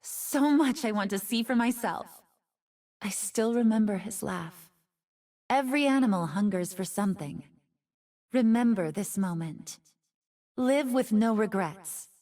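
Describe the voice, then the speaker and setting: A woman's voice: mid-range voice, measured pace, pauses often, mellow, recorded from a distance, lightly acted. A woman voicing an ancient, seductive fox woman in a video game, with teasing, theatrical and wistful delivery. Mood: wistful, wondering. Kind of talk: video game character voice lines.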